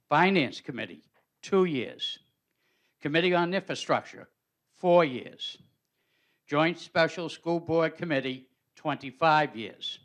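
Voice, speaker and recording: A man, a city alderman, reading out a formal resolution in a steady, measured official recital into a handheld microphone in a council chamber. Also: fairly high pitch.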